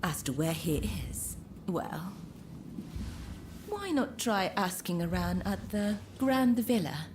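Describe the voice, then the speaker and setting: A poised, coy woman of the pleasure quarter in a game drama. She speaks slowly and suggestively, in measured phrases with knowing pauses. Soft music plays underneath. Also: mid-range voice. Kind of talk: dramatic video game dialogue.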